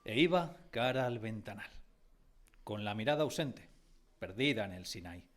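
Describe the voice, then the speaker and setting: A man playing a narrator who fondly recalls his village schooldays, in expressive scripted radio drama storytelling. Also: lowish voice, moderate pace, lightly acted. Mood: absorbed, wondering.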